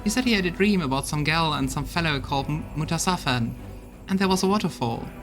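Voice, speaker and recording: A man's voice: fairly high pitch, lively intonation, quick pace, music in the background, close to an everyday voice. Man voicing a blunt, folksy crusade soldier. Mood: defiant, bitter. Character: rough-tongued loyal crusade soldier reporting bad news. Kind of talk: voiced fantasy role-playing game dialogue.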